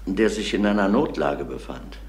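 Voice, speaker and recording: An actor playing a calm, fatherly middle-aged police inspector in a radio crime play, measured and reassuring. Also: deep voice, echoey room. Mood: measured, probing. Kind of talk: German radio crime drama acting.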